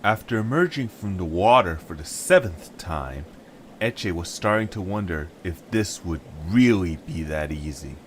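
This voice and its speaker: A man's voice: deep voice, expressive, unhurried, slight background noise. A man reading scripted narration for an audio story. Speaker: narrator and lead voice actor.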